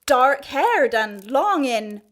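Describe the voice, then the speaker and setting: An actress playing a scheming, deferential maidservant who speaks in flowery, coy period language in a comic radio play. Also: female voice, high-pitched, sing-song, fairly bright tone, heavily acted. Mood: sly, coaxing. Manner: deliberate, ornate, coyly deferential. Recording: studio radio play with music.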